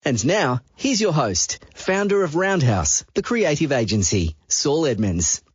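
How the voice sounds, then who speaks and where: A man's voice: fairly high pitch, unhurried, husky, no echo, muffled recording. A man reading a scripted podcast intro in a polished announcer style. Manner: polished, lively intro delivery. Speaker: show announcer.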